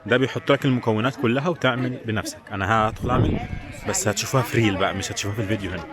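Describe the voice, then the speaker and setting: Male Egyptian vlogger, brisk casual delivery straight to the audience, recorded outdoors among a clearly audible crowd. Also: fairly low pitch. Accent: Egyptian Arabic. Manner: informal, chatty, addresses viewers directly. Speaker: travel vlogger. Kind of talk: casual walking vlog chat to viewers. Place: outdoors in a busy tourist village. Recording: crowd chatter, outdoor street sound. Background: crowd and outdoor sounds.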